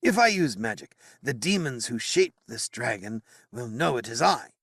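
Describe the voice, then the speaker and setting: A man's voice: mid-range voice, sing-song, normal speed, a bit bright, husky, no room sound, studio quality, exaggerated voice. A man voicing an agitated old male wizard, grave and fearful by turns.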